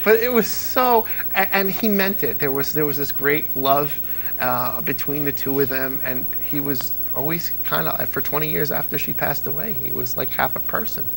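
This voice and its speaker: Gravelly-voiced man telling old radio stories. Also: on the high side, moderate pace, slight background noise, poor audio. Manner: animated storyteller, mimics voices in anecdotes. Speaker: interview guest, old-time radio fan. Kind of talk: casual anecdotal chat.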